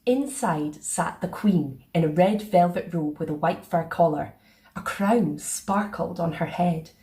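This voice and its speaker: A woman reading a children's picture book aloud in a playful, expressive way. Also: on the low side, a little slow. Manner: expressive, sing-song. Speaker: storyteller.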